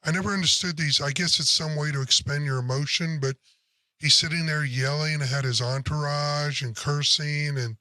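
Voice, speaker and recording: Male co-host, deep throaty voice with a forceful edge, blunt opinions, dry booth. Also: fairly even intonation, moderate pace, no room sound. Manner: blunt, opinionated, curses casually. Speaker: sports podcast co-host. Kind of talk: casual sports chat.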